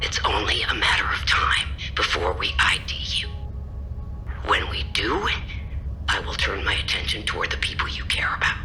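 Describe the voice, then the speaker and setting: An actor playing a taunting, threatening intelligence officer in a video game cutscene, speaking in a measured way. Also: male voice, deep voice, bright, forceful tone, faint music behind. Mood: quietly menacing. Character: menacing, taunting male intelligence officer hunting two intruders.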